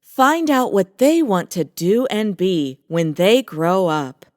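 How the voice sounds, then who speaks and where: A woman narrating a children's book in a deliberate, expressive read-aloud style. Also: medium pitch, clean studio sound. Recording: long hall reverb, music underneath. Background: music.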